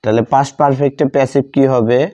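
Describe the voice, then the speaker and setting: A man with a Bengali accent teaching grammar, dictating deliberately word by word and repeating phrases. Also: medium pitch, steady tone, dark tone, no echo. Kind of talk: instructional lesson, bilingual grammar explanation. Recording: dull lo-fi sound.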